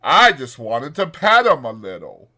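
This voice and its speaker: A man's voice: medium pitch, huge pitch swings, measured pace, bright, forceful tone, pitched above the performer's own voice, full character voice. A man voicing a simple, childlike big strong man in a dramatized audiobook, breathless and pleading. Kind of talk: dramatized audiobook character acting.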